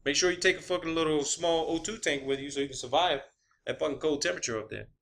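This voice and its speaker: A man's voice: a little high, fast talker, gravelly, fairly bright tone. A man delivering a confrontational, blunt, profanity-heavy rant as an online video creator. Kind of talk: profane spoken rant to camera or viewers.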